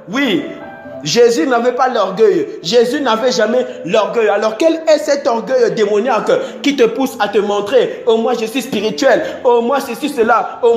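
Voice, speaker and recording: Male preacher, high voice, repetitive exhorting delivery, roomy sound with soft music behind. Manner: repetitive, exhorting, swings between loud and soft. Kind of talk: sermon. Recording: echoey room with faint music.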